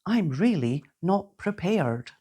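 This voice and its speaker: A woman's voice: fairly low pitch, big pitch swings, slow, mellow, husky, heavily acted. A woman voicing a young, clumsy, earnest fairy girl in a children's storybook. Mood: worried. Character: young clumsy anxious female fairy who becomes delighted.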